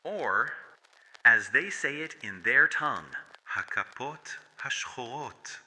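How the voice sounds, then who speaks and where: A man's voice: mid-range voice, lively intonation, slow, bright, forceful tone, heavily acted. A man voicing a traumatised furry cult survivor in an audio horror drama, speaking in a solemn, confessional way. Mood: guarded, reflective. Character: traumatised male furry cult survivor with nature powers, haunted. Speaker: voice actor. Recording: long hall-like reverb.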